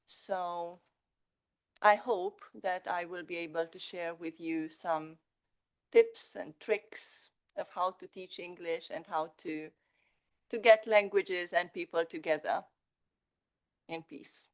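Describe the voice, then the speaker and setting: Slow, measured woman panelist. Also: on the low side, fairly even intonation, clear, resonant tone. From Romania. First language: Hungarian. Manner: slow, measured, pausing with fillers. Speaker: secondary school English teacher. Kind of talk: panel self-introduction.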